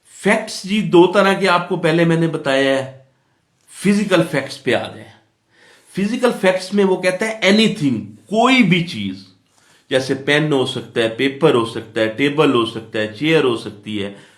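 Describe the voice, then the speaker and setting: Slow-paced Pakistani male teacher, echoey room. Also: a little high, expressive. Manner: didactic, repeats points and asks questions then answers them. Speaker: law teacher. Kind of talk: explanatory lecture for students.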